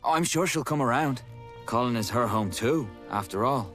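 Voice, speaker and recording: A man's voice: mid-range voice, expressive, moderate pace, a bit bright, natural voice. A man playing a loyal, helpful mercenary in a fantasy video game cutscene. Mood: confident, helpful. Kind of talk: English game cutscene voice acting. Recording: music behind the voice.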